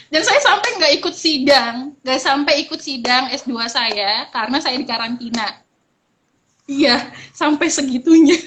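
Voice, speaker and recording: A woman's voice: on the high side, a bit bright, low-quality recording. A young woman talks casually as a guest speaker. She explains things expressively, like a storyteller. Faint noise sits behind her voice.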